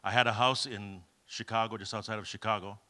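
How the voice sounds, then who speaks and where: A man's voice: fairly low pitch, steady tone, a little fast, sharp tone. A middle-aged man from Kenya giving a conference talk, telling humorous, self-deprecating stories with many fillers. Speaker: keynote speaker. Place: event hall.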